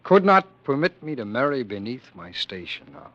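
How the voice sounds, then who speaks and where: An actor in a vintage radio drama, playing a haunted man who once ruled the world, who narrates his dream with earnest, halting intensity. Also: mid-range voice, very animated, dry recording, lightly acted. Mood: tender. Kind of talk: 1940s radio acting. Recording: dull old radio broadcast.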